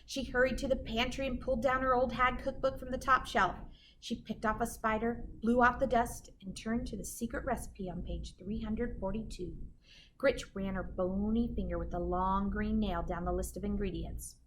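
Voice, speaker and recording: A woman's voice: fairly high pitch, steady tone, normal speed, noisy background. A woman reading a children's storybook aloud like a teacher, with dramatic emphasis and chatty asides to her listeners.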